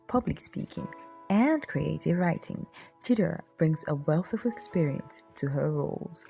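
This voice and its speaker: A woman's voice: on the low side, vocal fry, mellow, rough recording. A woman narrating a scripted promotional introduction video in the manner of a formal biography.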